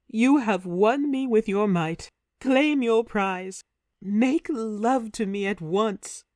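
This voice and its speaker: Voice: medium pitch, slow pace, a little mellow, no room sound, studio quality, lightly acted. A narrator voicing a passionate ancient warrior queen, pleading and theatrical, in a storybook reading. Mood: adoring. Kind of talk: audiobook character voice.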